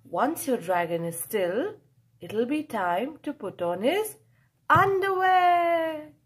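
A woman reading a picture book aloud to children, expressive and playful, drawing out key words for emphasis. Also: high-pitched, slow. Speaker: children's storyteller.

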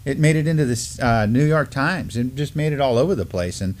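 A middle-aged man co-hosting a community news show, talking casually and haltingly as he thinks aloud. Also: mid-range voice, animated. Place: indoor studio desk, backdrop.

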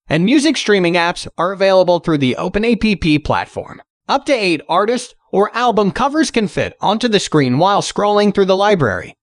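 A man narrates a scripted product review in a high-pitched voice with animated intonation. His delivery is polished and presentational, and the sound is dry and close, with no room on it. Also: dry recording. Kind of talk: scripted promotional voice-over narration.

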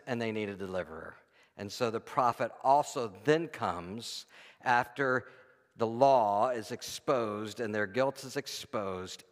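A man's voice: medium pitch, a bit bright. Man preaching informally in an echoey hall. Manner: halting, often pausing and restarting. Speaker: pastor preaching. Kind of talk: informal, conversational sermon. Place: church stage, indoors before a congregation. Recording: echoey hall, long reverb.

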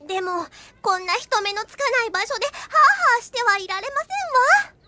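A woman voicing a flustered, scheming little anime girl who talks in prim, ladylike phrases and gets excitable. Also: very high voice, fairly quick, no room sound, heavily acted. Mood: scheming, excited. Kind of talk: anime comedy audio drama acting.

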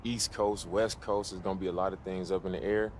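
An actor playing an encouraging, easygoing American pro basketball player in a video game, chatting casually. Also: male voice, low-pitched, steady tone, a little fast, a little hiss or hum, lightly acted. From Oakland, California.

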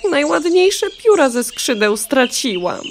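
An actress playing a cheeky, vain young hen in a children's radio play, sulky and mocking. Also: female voice, high voice, very animated, unhurried, slight background noise, full character voice.

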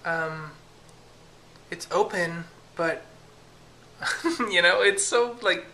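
A young woman chats into a camera she holds at arm's length in her home at night, giggling often and filling her talk with "like" and "you know." Her voice is bright, with a faint hum of room noise behind it. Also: fairly low pitch, extremely slow. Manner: chatty and giggly, lots of fillers. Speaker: vlogger. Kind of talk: casual chat to camera. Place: home kitchen at night, then living room. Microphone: camera held at arm's length.

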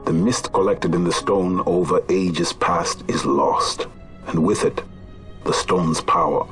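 An ancient horned beast-folk chief for a fantasy game. He speaks very slowly in a low register, grave and oracular, with archaic turns of phrase. Music plays clearly beneath him. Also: fairly even intonation, a bit bright. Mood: ominous, foreboding. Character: solemn aged great chief of a tribe of horned beast-folk. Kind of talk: dramatic video game voice acting.

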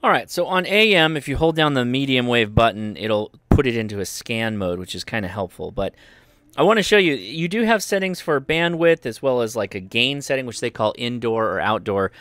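A man's voice: mid-range voice, brisk, a bit bright, dry recording. American man, dry close casual review. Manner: informal, explanatory. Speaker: host, radio reviewer. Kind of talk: casual product review and demonstration. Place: indoors, home radio studio and workbench.

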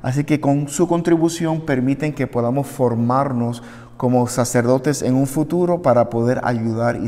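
A man's voice: medium pitch, darkish tone. A man in his thirties with a Puerto Rican accent, a deacon speaking earnestly to a congregation in a prepared appeal. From Puerto Rico. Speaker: deacon and seminarian. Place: small wood-panelled chapel, indoors, at a lectern. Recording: long echoey reverb of a hard-walled room.

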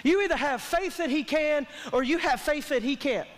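A man's voice: extremely high pitch, normal speed. A man, preaching a sermon as a pastor in an emphatic, colloquial, repetitive way that calls for response. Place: large church auditorium stage, indoors. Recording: long hall reverb from stage sound system.

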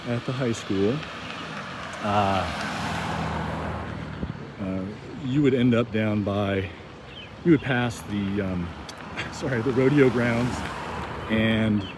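A man narrating casually while walking through town outdoors, rambling and halting with many ums and restarts. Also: fairly low pitch. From United States. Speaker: walking tour vlogger. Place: outdoors on small-town streets. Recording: outdoor street noise and wind. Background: street ambience, passing vehicles.

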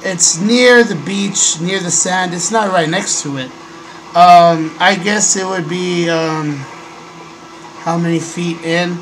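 A young man, hoarse from a cold, hosting a casual webcam vlog from a small bedroom into a desk microphone, pausing often with ums and clearing his throat. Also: fairly high pitch. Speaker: host. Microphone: desk microphone on a stand. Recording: noisy home recording.

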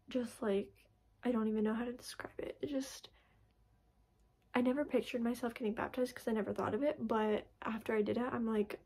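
Raspy-voiced young woman vlogging. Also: on the high side, dark tone. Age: young adult. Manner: rambling, lots of "like" and restarts. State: raspy from recent illness. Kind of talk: casual personal vlog. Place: bedroom, indoors. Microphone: camera in front at close range.

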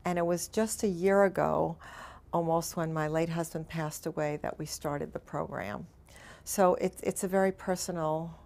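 A woman's voice: low voice, gravelly, a little mellow. An older woman who founded a swim program for veterans speaks in a heartfelt, reflective interview in her office.

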